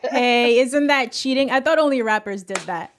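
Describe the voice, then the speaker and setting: A woman's voice: a little high, moderate pace. A woman in her thirties from the United States, hosting a conversational music interview into a close microphone. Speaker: music show host, interviewer. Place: indoor home room, video call. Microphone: close desk microphone.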